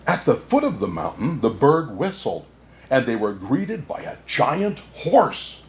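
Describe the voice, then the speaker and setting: A man playing a jolly, kindly old Santa Claus, excitable and chuckling, reading a children's storybook aloud with "oh my" exclamations. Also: medium pitch, unhurried. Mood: gently narrating. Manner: hearty chuckles and frequent "oh my" exclamations. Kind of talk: children's storybook read-aloud with asides to listeners.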